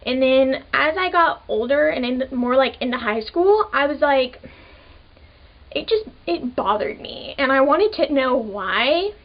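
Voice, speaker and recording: A woman's voice: sits high, lively intonation, a little slow, highs cut off. A twenty-year-old woman telling her own story in a casual, chatty way, full of "like" fillers. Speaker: vlogger. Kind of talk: personal storytelling to camera.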